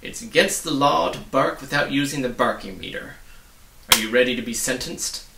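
A man's voice: mid-range voice, normal speed, creaky, roomy sound, recorded from a distance, noisy background, natural voice. A man voicing a tiny, fierce, bossy storybook policeman with a blustering, accusatory manner. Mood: stern, officious.

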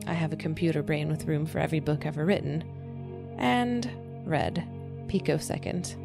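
A woman voicing a dry, boastful computer intelligence in an audio drama, brisk, skeptical and deadpan. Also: low voice, vocal fry, music in the background.

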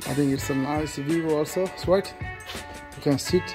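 A man speaking halting non-native English, searching for words as he co-hosts a casual vlog. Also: fairly high pitch, fairly even intonation, over music. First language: not English. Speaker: vlog co-host.

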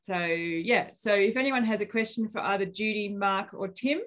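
A woman's voice: mid-range voice, normal speed, fairly bright tone. A woman in her thirties with an Australian accent, hosting a webinar question session in a casual, rambling way. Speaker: webinar host. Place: room at home. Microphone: video call webcam.

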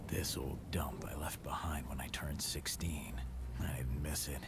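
An actor playing a hard-edged estranged brother lying low, curt and defensive, swearing when pushed. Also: male voice, fairly even intonation, measured pace, very noisy. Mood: brooding. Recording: loud music under the dialogue.

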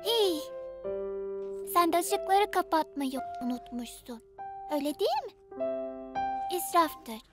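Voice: extremely high pitch, sing-song, slow pace, long pauses, loud music behind the voice, heavily acted. A performer voicing a cheeky, preachy little girl, a younger sister in a children's cartoon, with a childlike, sententious manner. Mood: chiding. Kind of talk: cartoon dub acting.

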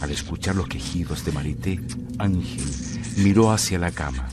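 A man narrating a mystery radio drama in a deliberate, dramatic way with pauses. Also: deep voice, background noise, close to an everyday voice.

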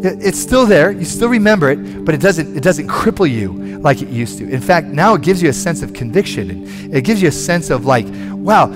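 A man in his forties, a pastor preaching a sermon from a church stage on a headset mic, animated and conversational, with frequent restarts. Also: fairly high pitch, moderate pace, few pauses, over music. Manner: animated, moving about the stage and gesturing.

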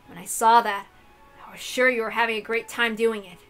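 A brooding young game master, acted by a woman, earnest and bitter in a dramatic visual novel reading. The voice is fairly high for the part, with faint music underneath. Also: steady tone, brisk, a bit bright, clean tone.

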